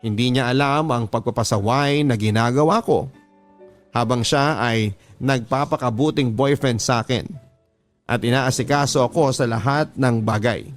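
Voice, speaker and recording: Filipino man narrating a confession over music. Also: mid-range voice. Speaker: radio drama narrator reading a listener's confession. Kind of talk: dramatized scripted narration of a love confession. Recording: music bed under the voice. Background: background music.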